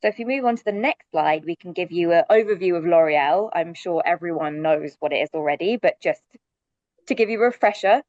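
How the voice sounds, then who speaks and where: A woman's voice: lowish voice, fairly quick, darkish tone, dry recording, highs cut off. A woman with a London accent, a sustainability director cheerfully introducing herself on a video call webinar, with frequent ums. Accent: London English. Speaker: sustainability director, panel moderator.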